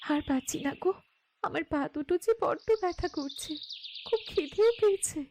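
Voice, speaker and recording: A woman's voice: very high-pitched, normal speed, darkish tone, slight background noise. A woman voicing a headstrong, loving young daughter of a landlord in a cartoon story, speaking earnestly and insistently. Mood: weary, pleading. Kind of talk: Bengali cartoon story acting.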